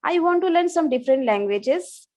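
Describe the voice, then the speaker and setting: A woman whose first language is Bangla, speaking English slowly and hesitantly on a video call, with many restarts and fillers as she practices. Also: sits high, lively intonation, darkish tone. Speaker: English learner. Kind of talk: online English practice conversation.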